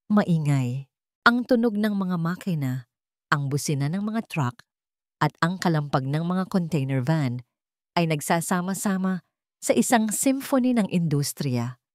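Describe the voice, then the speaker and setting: A woman's voice: lowish voice, no room sound, studio quality. A woman reading a scripted, dramatic story as its narrator.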